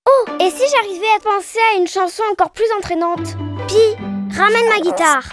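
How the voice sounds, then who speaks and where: A woman voicing an earnest, excitable little baby girl in a French children's cartoon dub, childlike and expressive. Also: extremely high pitch, moderate pace. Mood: urgent. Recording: music behind the voice. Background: children's music.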